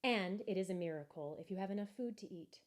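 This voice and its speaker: A woman reads a children's story aloud in a mid-range voice. Her narration is expressive and measured, landing key phrases with deliberate emphasis. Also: mid-range voice. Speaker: story reader.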